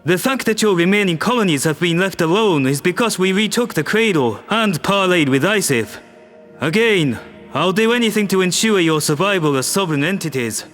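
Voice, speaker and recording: A composed male world leader lays out his case in a measured, persuasive manner, as a man reads him in an audio drama. His voice sits fairly high, and faint music plays underneath. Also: lively intonation, a bit bright. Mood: firm. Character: calm firm human male world leader.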